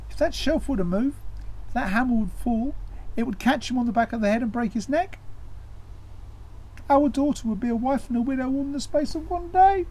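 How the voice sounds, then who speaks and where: A man's voice: extremely high pitch, darkish tone, pitched above the performer's own voice, low-quality recording. A man voicing a fretful middle-aged farmer's wife in a plaintive, dramatic way for a folk tale.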